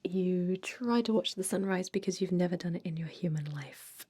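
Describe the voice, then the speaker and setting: A woman playing a friendly, chatty old vampire job interviewer in a soft, breathy ASMR roleplay whisper. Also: lowish voice, moderate pace. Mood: sympathetic, confiding. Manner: gentle, chatty, encouraging.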